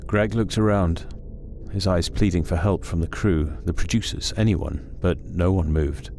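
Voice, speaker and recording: A man narrating a scripted horror story in a measured, suspenseful, dramatic way. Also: deep voice, a little hiss or hum. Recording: loud music under the voice. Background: music.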